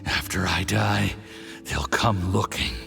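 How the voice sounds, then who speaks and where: An actor playing an arrogant poisoned diplomat in a video game, gravelly and strained, halting with indignation and desperation. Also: male voice, low voice, over music, exaggerated voice. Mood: indignant, threatening. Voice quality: gravelly, creaky. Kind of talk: scripted video game voice acting.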